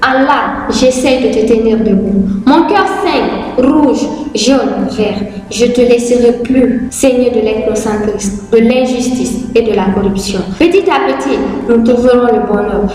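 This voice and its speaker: A young woman reciting a patriotic spoken-word poem in a solemn, declamatory way, in short deliberate phrases. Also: a little high, a little mellow. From Guinea. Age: young adult. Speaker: poet. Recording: very echoey, long hall reverb.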